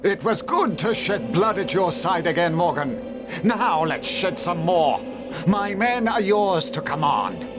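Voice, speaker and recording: A fierce, hearty warrior chief from a fantasy game. He is bold and boisterous, swinging widely in pitch while taking his words slowly, with music underneath. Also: sing-song. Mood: hearty, bloodthirsty. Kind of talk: fantasy video game cutscene acting.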